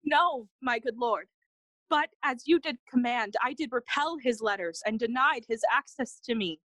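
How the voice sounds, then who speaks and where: An anxious, dutiful young noblewoman in a Shakespearean audio drama. Her voice is high, with an earnest, dramatic verse delivery in a dry, close space. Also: normal speed, fairly bright tone, no echo, low-quality recording. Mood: shaken, earnest. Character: frightened, obedient young noblewoman and daughter. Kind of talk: Shakespearean audio play acting.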